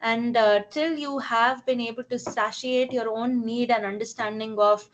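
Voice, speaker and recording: A woman's voice: on the high side, fairly even intonation, normal speed, slight background noise. A woman from eastern India with an Indian accent, hosting an interview in a conversational style. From Ranchi, India. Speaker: interviewer, host. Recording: dull, lo-fi audio.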